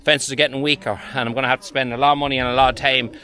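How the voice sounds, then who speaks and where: A man's voice: medium pitch, level tone, racing, bright, forceful tone. An Irish man, a farmer, casually explaining his work to camera in a down-to-earth, mildly sweary way. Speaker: farmer and farm vlogger. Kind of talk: casual vlog commentary while working. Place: outdoors in cattle fields. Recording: very noisy outdoor farm, cattle mooing.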